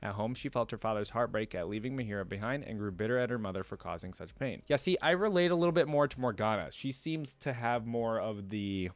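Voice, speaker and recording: A man's voice: lowish voice, expressive, fairly quick, hardly any pauses, dry recording. A man hosting a reaction, switching between reading a story aloud and informal commentary where he thinks aloud. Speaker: reaction video host.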